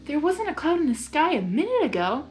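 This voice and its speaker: A woman reads the part of a female pony schoolteacher in a storybook tale. She is sweet and polite, speaking gently in a fairly high voice. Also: very animated, a little fast, soft background music. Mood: apologetic. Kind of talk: fan fiction character reading.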